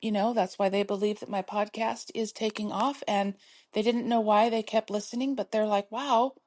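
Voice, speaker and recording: A woman's voice: medium pitch, fairly even intonation, moderate pace, darkish tone. A middle-aged woman hosting her own podcast, talking in a soothing, encouraging way and laughing often as she urges listeners to keep going. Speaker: podcast host. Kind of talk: motivational solo podcast talk.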